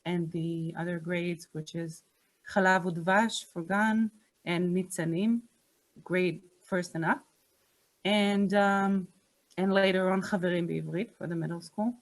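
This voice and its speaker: A woman with an Israeli accent, a native Hebrew speaker, presenting her Hebrew and Judaics classes at a school open house over a video call. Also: fairly low pitch.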